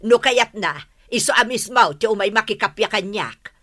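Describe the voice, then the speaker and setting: A woman's voice: medium pitch, lively intonation, normal speed, a bit bright, no echo, clean studio sound. A woman playing a proud, obstinate older mother who scolds and exclaims, in an Ilocano comedy radio drama. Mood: stubborn.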